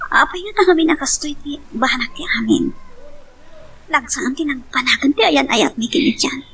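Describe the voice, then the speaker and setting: A woman's voice: sits high, lively intonation, normal speed, a bit bright, slight background noise, rough recording. A woman playing a worried, pleading mother in an amateur family love drama, speaking with an Ilocano accent. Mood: distraught, pleading.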